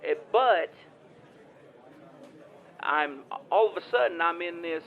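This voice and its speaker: Slow, flustered Southern farmer. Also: male voice, on the high side, expressive, narrow, tinny audio. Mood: agitated, earnest. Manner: rambling, stammering, halting, folksy. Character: flustered, devout Southern farmer recounting an alien abduction. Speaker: caller. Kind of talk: improvised comedic audio drama phone call.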